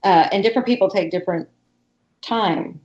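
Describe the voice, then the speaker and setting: A woman hosting a casual interview, encouraging and quick to laugh, with rambling restarts. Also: mid-range voice, a little mellow, roomy sound, lo-fi sound. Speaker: podcast host.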